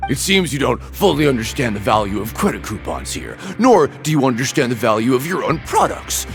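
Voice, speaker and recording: A man playing a fussy, self-important, aggrieved salesman in a video game, whiny and theatrical. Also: medium pitch. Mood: mocking quote. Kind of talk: video game character acting.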